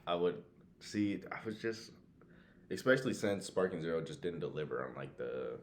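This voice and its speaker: A man with an extremely low voice co-hosts a podcast. He speaks in loose, slangy chat, hyping up what he likes. A little background noise sits behind him. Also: expressive, moderate pace. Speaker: podcast co-host. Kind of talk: casual chat.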